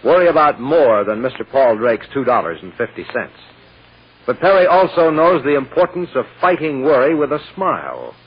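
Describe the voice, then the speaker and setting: A man's voice: medium pitch, big pitch swings, normal speed, slightly rough. A man narrating as a 1940s radio announcer, with emphatic, dramatic scripted phrasing. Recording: muffled old radio recording.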